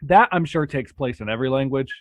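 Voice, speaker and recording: Male podcast host, relaxed, filler-heavy speech, mid-pitched voice. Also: quick pace, dry recording. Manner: relaxed, lots of "like" fillers, rises in pitch when excited. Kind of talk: casual chat.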